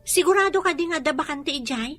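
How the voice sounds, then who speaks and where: A woman's voice: high voice, animated, brisk, a bit bright. An actress in a radio drama playing a cautious, reluctant young rural woman with a Filipino accent. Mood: hesitant.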